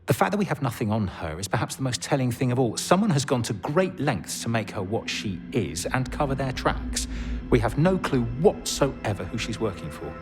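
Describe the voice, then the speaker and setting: An actor playing a measured, dry intelligence officer giving a briefing in a video game cutscene. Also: male voice, medium pitch, very animated, music in the background, close to an everyday voice. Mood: dry, thoughtful. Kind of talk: cinematic video game acting.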